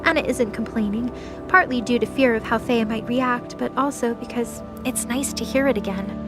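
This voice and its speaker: A woman's voice: on the high side, normal speed, music in the background. A woman narrating a scripted light novel audio drama.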